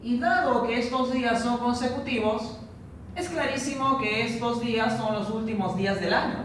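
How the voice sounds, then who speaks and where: A man teaching a recorded online training lesson for teachers, explaining a logic problem slowly and step by step. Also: very high-pitched, a bit bright. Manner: slow, methodical, explains step by step. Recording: echoey room, distant mic, noisy.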